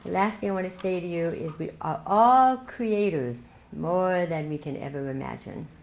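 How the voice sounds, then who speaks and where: A woman's voice: on the low side, normal speed. An older woman giving a keynote speech at a club meeting, telling her story in a reflective way with light laughs. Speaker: keynote speaker. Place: meeting hall. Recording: echoey hall, faint noise.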